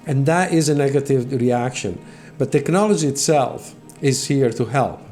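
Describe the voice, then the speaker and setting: A man's voice: medium pitch, moderate pace, a little mellow. Italian-accented man hosting a tech channel. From Italy. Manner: earnest, with repeated words and restarts. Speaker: channel host. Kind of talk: talking to camera, motivational explainer. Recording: faint music behind voice.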